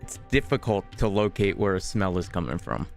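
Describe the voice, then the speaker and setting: Casting: a wry gameplay streamer with a low voice and casual delivery. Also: very animated, brisk, gravelly, faint music behind. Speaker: gaming streamer.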